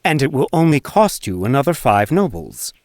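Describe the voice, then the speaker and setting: A cheeky street minstrel for a storybook drama. He is sly and casual, with teasing false deference and a lively, swinging pitch. The recording is very dry. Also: normal speed, dry recording. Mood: slyly insistent. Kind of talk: full-cast audiobook acting.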